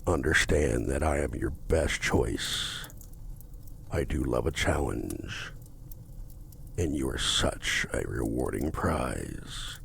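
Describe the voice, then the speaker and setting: A man playing a seductive, possessive demon dealmaker in an intimate ASMR roleplay, speaking in a deep gravelly voice with a formal, domineering manner. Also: fairly bright tone, a little hiss or hum, exaggerated voice. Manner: slow, deliberate, formal, domineering. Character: seductive possessive male demon contract-broker, menacing, smug.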